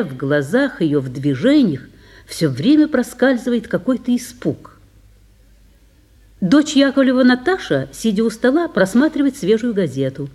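Mellow female radio-play narrator. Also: a little high. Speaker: narrator of stage directions. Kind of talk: measured scripted narration.

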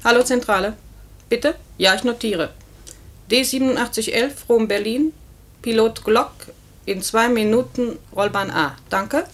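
A fairly high voice of an airline secretary, played by an actress. She is brisk and matter-of-fact with a slightly sardonic edge, in 1950s radio acting style. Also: slight background noise, lightly acted. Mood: businesslike. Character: brisk, dry female office secretary at an airline.

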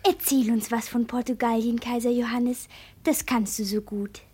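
Casting: curious little country girl, high childlike voice, eager, for radio play. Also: fairly even intonation, moderate pace, mellow, husky. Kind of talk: German radio drama acting.

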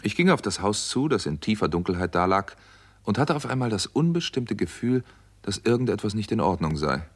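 An actor playing an American doctor in a 1960s German radio crime drama, speaking in a measured, understated way. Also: male voice, lowish voice, big pitch swings, husky. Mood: uneasy, reflective. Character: American doctor narrating a crime story, calm, uneasy. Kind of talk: 1960s German radio play, first-person crime narration.

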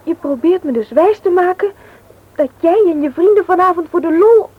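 A woman's voice: very high voice, lively intonation, normal speed, phone-quality sound. An actress playing an anxious, indignant young girlfriend in a radio play, faltering and protesting. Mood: nervous, indignant.

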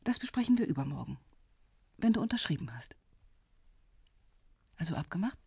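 An actress playing a self-assured, flirtatious middle-aged policewoman with gentle irony in a radio drama. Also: deep voice, sing-song, moderate pace, creaky, husky, dry recording, studio quality, full character voice. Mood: softly inviting.

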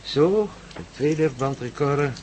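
A man's voice: on the high side, animated, measured pace, a little mellow. A scholarly professor in an old radio play, lecturing formally and precisely. His intonation rises and falls freely over a noisy recording. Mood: confident, absorbed. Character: pedantic scholarly professor, confident and patient experimenter. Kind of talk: radio drama acting.

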